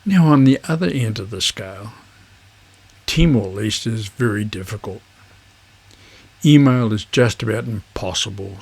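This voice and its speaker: A man with a husky voice, presenting an instructional vlog in measured phrases. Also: medium pitch, a little mellow. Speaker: amateur radio video host.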